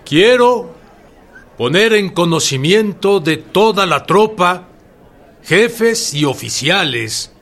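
An actor playing an earnest revolutionary leader addressing his troops in a deliberate, declamatory radio drama style. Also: high-pitched, very animated, full character voice. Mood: solemn, urgent. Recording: loud crowd behind the voice.

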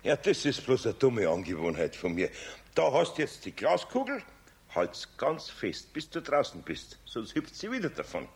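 An older Bavarian craftsman, gruff but kindly and patient. His voice is husky and gravelly, with lively swings in pitch, in the style of a 1970s children's radio play. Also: normal speed, heavily acted.

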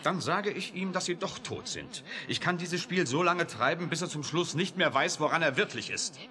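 An actor playing a provocative, sardonic scientist in a radio drama, teasing and needling with a defiant, flirtatious streak. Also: male voice, a little high, animated, moderate pace, bright, forceful tone. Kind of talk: 1980s German radio drama acting.

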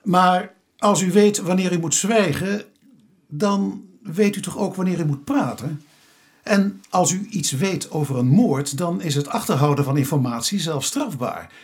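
An actor playing a sceptical, businesslike police inspector in a radio drama, with a dry, formal way of reasoning. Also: male voice, high voice, unhurried, slightly rough, full character voice. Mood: probing, firm.

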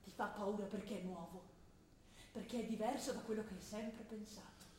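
An actress playing an earnest, ideologically convinced young revolutionary daughter in an insistent, declamatory stage style. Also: female voice, mid-range voice, steady tone, moderate pace, warm tone, slight background noise, natural voice. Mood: insistent, defiant. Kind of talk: stage drama acting, declaimed political proclamation. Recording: roomy stage sound with music behind.

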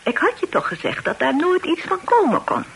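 An actress playing a fussy, bossy middle-aged aunt in a radio drama, chattering and flustered. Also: female voice, high voice, wildly swooping pitch, fairly quick, creaky, exaggerated voice. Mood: reproachful, huffy. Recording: noisy old radio play recording.

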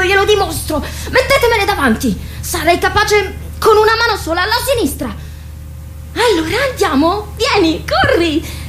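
An actress in a radio drama playing a boastful, impatient teenage warrior girl, bossy and excitable. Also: extremely high pitch, slight background noise. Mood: boastful, excited.

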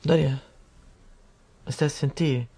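A man's voice: on the high side, fairly even intonation, takes it slowly, dark tone, slightly rough, exaggerated voice. An actor playing an anxious, stressed young man with a Roman accent, whiny and stammering as he begs a friend for advice. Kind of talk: scripted comedy sketch.

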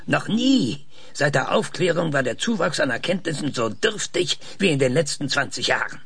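Husky, creaky male scientist. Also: a little high, expressive, a bit bright, dry recording, heavily acted. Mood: scornful, agitated. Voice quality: husky, breathy rasp with creak. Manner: emphatic, halting, lecturing, scornful. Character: opinionated, irritable male research scientist being interviewed. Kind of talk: naturalistic radio drama acting.

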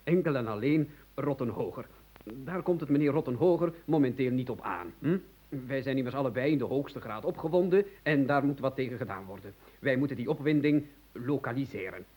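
An actor playing a fussy, excitable, bewildered man in an absurdist radio play, pedantic and theatrical, swinging between hushed and agitated delivery. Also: mid-range voice, a little fast, natural voice. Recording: hissy old radio drama recording.

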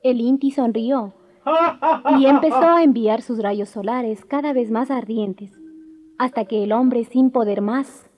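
A woman's voice: a little high, a little slow, highs cut off. A woman giving a scripted storytelling narration of a traditional legend as the narrator of a radio drama. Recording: faint background noise.

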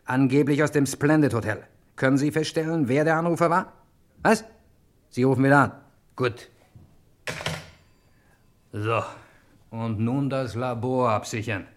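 An actor playing a gruff, clipped, grumbling detective who swears a lot in an old German radio crime drama. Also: male voice, medium pitch, steady tone, unhurried, long pauses, close to an everyday voice. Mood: brooding, determined. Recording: noisy old radio play recording.

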